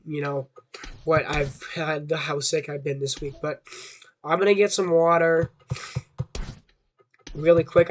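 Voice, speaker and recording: A young man with a hoarse voice from a sore throat, rambling through casual gaming commentary with a self-doubting tone. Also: on the high side, a bit bright, a little hiss or hum. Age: young adult. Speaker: gaming YouTuber.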